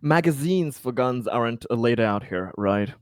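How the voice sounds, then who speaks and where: A man's voice: medium pitch, expressive, moderate pace, dry recording, clean studio sound. A man chatting casually as a player in a tabletop game.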